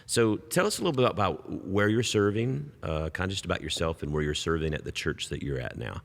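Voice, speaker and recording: A man hosting a podcast, relaxed and joking, telling stories and asking questions with halting restarts. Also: sits low, creaky. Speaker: podcast host. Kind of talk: casual interview conversation. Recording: long reverb, echoey room.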